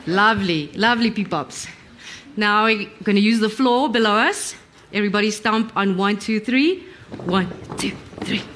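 A woman's voice: mid-range voice, normal speed, fairly bright tone, slight background noise. A woman, upbeat and encouraging as she hosts a live audience session. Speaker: presenter, audience warm-up leader.

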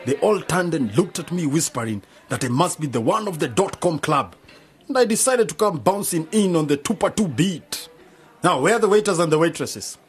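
An actor playing a laid-back, show-off young musician with a very raspy voice in a radio drama. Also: male voice, fairly high pitch, lively intonation, normal speed. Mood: cocky.

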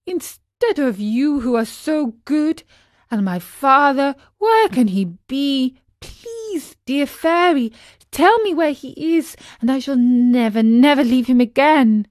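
A woman's voice: high-pitched, big pitch swings, takes it slowly, a bit bright, pitched above the performer's own voice, exaggerated voice. A woman voicing a naive, impulsive wooden marionette boy in a storybook, with an exclamatory, pleading delivery. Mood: grieving, pleading. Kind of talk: storybook character voice.

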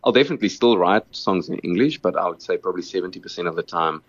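A man's voice: deep voice, expressive, fast talker, slightly rough, no room sound, lo-fi sound. A South African man whose first language is Afrikaans, a musician answering interview questions in an earnest way, often repeating words while he thinks.

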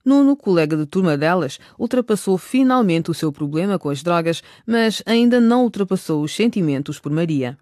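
A man with a high-pitched voice narrates a scripted radio drama. The recording is dry, with no room sound. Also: lively intonation, moderate pace, few pauses, a little mellow, dry recording.

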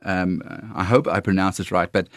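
A man with an Afrikaans accent who trains worship leaders, talking earnestly and haltingly with many fillers. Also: deep voice, steady tone, no room sound, clean studio sound. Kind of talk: casual conversation on faith.

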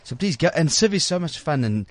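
A South African man hosting a chatty talk radio show, effusive and quick to repeat words in excitement. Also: fairly high pitch, no room sound. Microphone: studio microphone.

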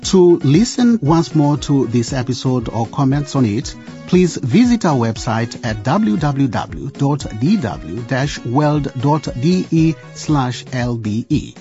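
A man narrating a scripted radio drama in an expressive storytelling style. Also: medium pitch, slow pace, muffled recording. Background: music.

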